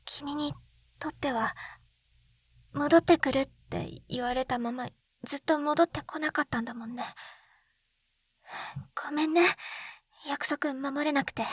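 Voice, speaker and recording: Woman acting a high-voiced, tender lovestruck schoolgirl. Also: steady tone, dry recording. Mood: apologetic, soft. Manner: breathy, halting, often dropping into whispers. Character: lovestruck schoolgirl reunited from another world, shy and tender. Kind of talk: Japanese ASMR roleplay, intimate situation voice acting. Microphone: close binaural dummy-head microphone.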